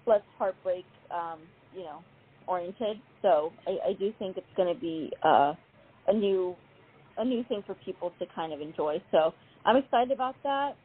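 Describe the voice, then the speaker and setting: A woman's voice: fairly low pitch, moderate pace, breathy edge. A woman singer-songwriter being interviewed, talking easily and laughing often. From United States. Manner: giggly, relaxed. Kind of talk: casual interview chat. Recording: tinny phone-like call audio.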